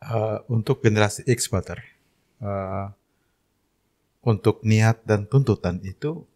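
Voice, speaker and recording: A man's voice: lowish voice, slow, mellow. A man in his fifties, a teacher and guest, answering with earnest, brief replies. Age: 50s. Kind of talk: measured interview answers.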